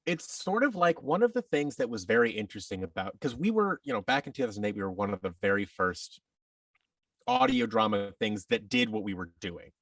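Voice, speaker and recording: A man's voice: fairly low pitch, animated, a little fast, fairly bright tone, no room sound, recorded from a distance. A man giving a rambling, enthusiastic explanation as a guest in a conversational interview. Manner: rambling, enthusiastic explaining, acts out imagined quotes. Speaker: podcast guest, audio drama producer.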